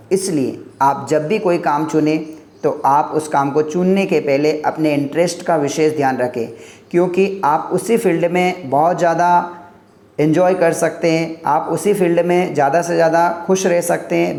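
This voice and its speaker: A man's voice: a little high, a little mellow, echoey room. A man giving an earnest motivational talk on career choices, stacking points in lists, as a teacher addressing his viewers. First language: Hindi.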